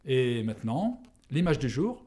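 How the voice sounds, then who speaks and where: A man's voice: mid-range voice, sing-song, measured pace, heavy background noise. A man playing a formal TV news anchor making announcements in a comedy film.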